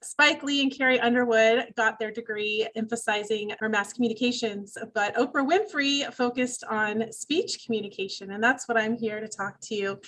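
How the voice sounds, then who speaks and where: A young adult woman, a speech professor, giving an upbeat, clear presentation over a video call. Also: fairly high pitch, steady tone, moderate pace, few pauses, a bit bright, rough recording.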